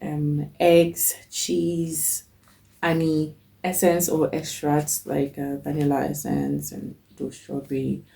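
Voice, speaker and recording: Woman host, young, slow and gravelly, stuffy with a cold, chatty list-reading. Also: low voice, level tone, warm tone. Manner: chatty, list-like, frequent ums. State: has a cold. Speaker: cooking channel host. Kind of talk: casual talk to camera.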